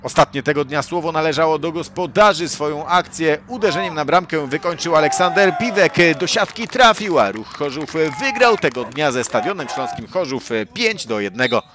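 A man's voice: on the high side, moderate pace, few pauses, noisy background. A man reading a scripted voice-over for a youth football match report, lifting his voice at goals and chances. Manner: lively commentary, rising at goals and chances.

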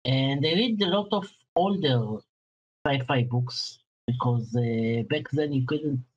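A man in his late thirties with an Israeli accent, a guest speaking hesitantly with pauses over a video call. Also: medium pitch, lo-fi sound. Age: 30s. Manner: hesitant, plain phrasing with pauses. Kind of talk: casual interview chat.

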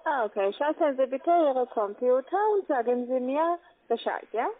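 High-voiced accented woman on the phone. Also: animated, moderate pace. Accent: foreign accent in German. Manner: insistent, repeatedly checking with tag questions. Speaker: call-center phone scammer. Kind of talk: step-by-step phone instructions. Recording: tinny lo-fi phone call.

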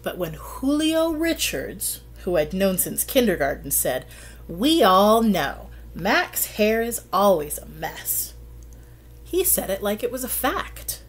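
A middle-aged woman reading a children's picture book aloud, with expressive, deliberate storytelling and drawn-out phrases. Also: medium pitch, slight background noise. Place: small plain room indoors.

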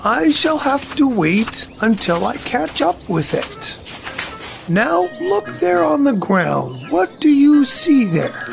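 A woman voicing a gentle, earnest, slow-witted male bear in a children's audio drama, musing aloud in a ponderous, solemn way. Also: high voice, sing-song, creaky, over music, full character voice. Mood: pondering.

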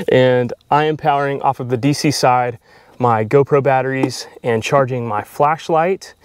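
Young man, casual camping vlog, chatty, laughs easily, mid-pitched, dry close sound. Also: measured pace, smooth, no room sound. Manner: relaxed, chatty, laughs often. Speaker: vlogger. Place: in a tent and at a campsite in the woods. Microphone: handheld action camera.

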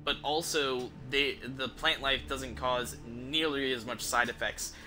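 A man in his thirties giving casual, sweary, rambling and halting commentary as he streams a game. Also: mid-range voice, steady tone, sharp tone. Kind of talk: casual livestream gameplay commentary. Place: small room at home, indoors. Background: game music.

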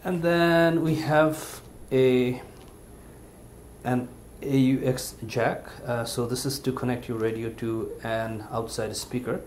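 A man with a medium-pitched voice presents a product in a casual, unscripted review style. His delivery is halting and hesitant. The recording was made in a home living room and carries faint but noticeable background noise. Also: steady tone, a little mellow. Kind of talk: casual unboxing and review commentary.

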